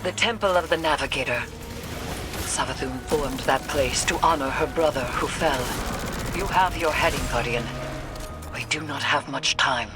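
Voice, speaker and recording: A woman's voice: sits low, moderate pace, over music, close to an everyday voice. A woman playing a grave, commanding alien sorceress in a video game, speaking formally and solemnly. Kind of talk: dramatic video game acting.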